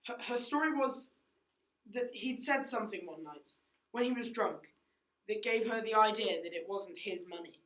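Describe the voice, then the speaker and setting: A woman's voice: medium pitch, lively intonation, normal speed, a bit bright, close to an everyday voice. A teenage girl playing a haughty, self-righteous upper-class mother in a school radio play, with a dismissive, indignant manner. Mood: defensive. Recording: echoey room.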